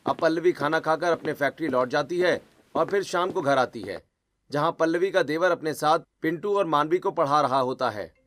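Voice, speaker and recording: A man's voice: a little high, normal speed, fairly bright tone. A man reading scripted narration of a family story as the storyteller.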